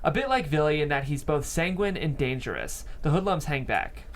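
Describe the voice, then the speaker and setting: A man's voice: a little high, big pitch swings, fairly quick, slight background noise. A man reading stage directions as narrator at a script table read.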